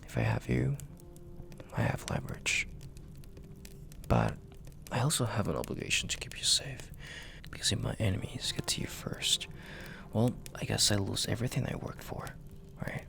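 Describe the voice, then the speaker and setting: A man playing a dominant, flirty and possessive mafia boss in an intimate audio roleplay, speaking in a gravelly, breathy half-whisper with a commanding, teasing manner. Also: on the low side, sing-song, fairly bright tone, heavily acted. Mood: serious, controlled. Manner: slow, commanding, teasing, with drawn-out pauses.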